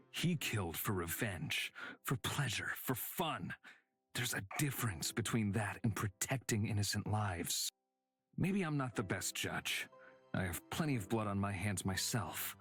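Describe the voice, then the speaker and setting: An actor playing a loyal, battle-hardened companion in a visual novel game, plain-spoken and steady. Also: male voice, fairly low pitch. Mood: reassuring. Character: loyal, battle-hardened male companion, once hot-headed.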